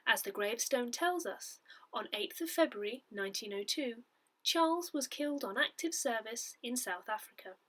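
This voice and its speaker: Woman, higher-pitched voice, very varied intonation, scripted narration, measured careful enunciation. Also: bright, forceful tone. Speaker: volunteer reader of an audio parish newsletter. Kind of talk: scripted narration, reading a written article aloud.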